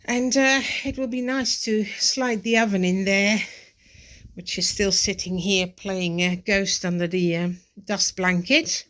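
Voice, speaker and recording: A woman's voice: mid-range voice, fairly even intonation, measured pace, fairly bright tone. A woman with a nasal, congested voice from a cold, giving a casual, matter-of-fact progress update as a DIY vlogger. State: has a cold. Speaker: boat renovation vlogger.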